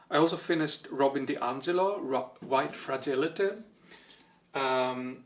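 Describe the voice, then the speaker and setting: Gravelly-voiced Swedish man reviewing books. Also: medium pitch. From Sweden. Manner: reflective, with frequent fillers and pauses. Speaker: book vlogger. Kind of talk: casual book review vlog.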